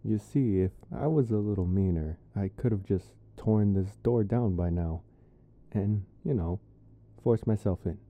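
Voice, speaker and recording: A young man playing a teasing, awkward, affectionate college vampire boyfriend in an ASMR roleplay, speaking softly and hesitantly to the listener with wry pauses. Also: lowish voice, natural voice. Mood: exasperated, coaxing.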